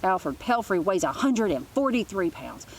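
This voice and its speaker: A woman's voice: medium pitch, very animated, moderate pace, slight background noise. Woman reading as a sly, bossy schoolgirl bully. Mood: taunting. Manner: blunt, taunting.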